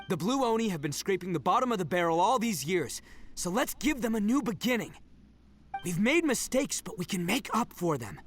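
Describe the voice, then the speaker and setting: A brash, cocky oni brawler. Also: male voice, high-pitched, lively intonation, normal speed, long pauses, slight background noise. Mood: earnest. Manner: loud, swaggering, blunt. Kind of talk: game cutscene voice acting.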